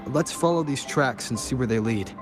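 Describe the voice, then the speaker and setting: A man acts as a calm male investigator in a story game. His mid-range voice is purposeful and composed. Background music runs clearly underneath. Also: mid-range voice, normal speed, natural voice. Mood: focused. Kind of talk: video game story voice acting.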